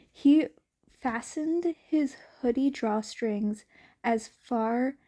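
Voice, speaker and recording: A woman reading a fanfiction story aloud in an unhurried, even storytelling manner. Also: fairly high pitch. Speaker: fanfiction reader.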